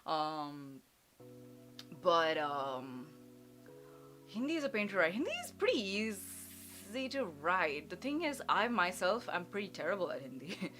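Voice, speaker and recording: Young woman streaming advice, kinda low voice, jokes about herself a lot, soft music in the back. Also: very animated, unhurried. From India. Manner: chatty, self-deprecating, laughs often, switches into Hindi and Japanese. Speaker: virtual streamer giving advice.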